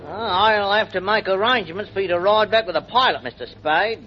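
Guy as a stuffy officious purser, high voice, dull old radio recording. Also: brisk, a little hiss or hum, natural voice. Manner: formal, precise, officious. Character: officious ship's purser, dry and unbending. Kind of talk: 1940s radio acting.

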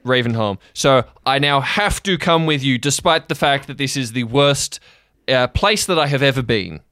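An anxious adult counselor, played by a man, with a bright, clear voice. He is earnest and hesitant, stumbling through placating, therapist-like phrases as he tries to keep children safe in an audio drama. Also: medium pitch, moderate pace, no echo, clean studio sound, close to an everyday voice. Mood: anxious, apologetic.

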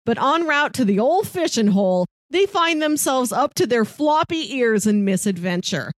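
Female podcast co-host, playful and chatty, higher register, close dry sound. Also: big pitch swings, normal speed, no echo. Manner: chuckles mid-sentence, playful. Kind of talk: casual film podcast chat, pitching films and reading blurbs.

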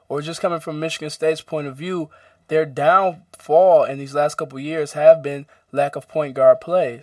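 A young man co-hosting a college radio sports show, quietly giving measured analysis. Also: mid-range voice, dry recording, clean studio sound, distant mic. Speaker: radio co-host. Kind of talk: casual sports talk.

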